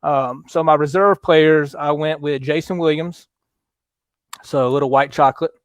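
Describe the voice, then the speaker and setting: Guy hosting a chill sports livestream, smooth voice, kinda high, dry close mic. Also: no room sound. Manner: relaxed, halting, lots of fillers, reads viewer comments aloud. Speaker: podcast host. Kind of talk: casual sports chat on a livestream.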